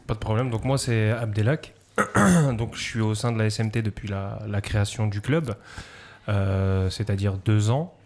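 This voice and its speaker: Hesitant low-voiced male coach. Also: moderate pace, darkish tone, a little hiss or hum. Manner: hesitant, many fillers. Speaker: guest, combat sports coach. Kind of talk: radio interview.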